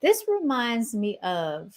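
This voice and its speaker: A woman's voice: on the high side, wildly swooping pitch, slow pace. Chatty sarcastic woman podcaster. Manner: chatty and sarcastic, laughs often, keeps addressing listeners. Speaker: podcast host. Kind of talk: casual commentary reacting to clips.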